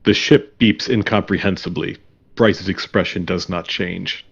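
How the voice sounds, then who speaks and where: A man reading scripted stage directions as a deadpan narrator. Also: low voice, a little slow, creaky, breathy edge, dull audio.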